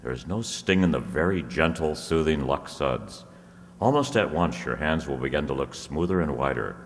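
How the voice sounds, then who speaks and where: A man playing a vintage radio announcer, reading scripted copy in a measured, formal manner. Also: very deep voice, animated. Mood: polished, persuasive. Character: smooth 1930s radio announcer selling soap. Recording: echoey hall, noisy audience room.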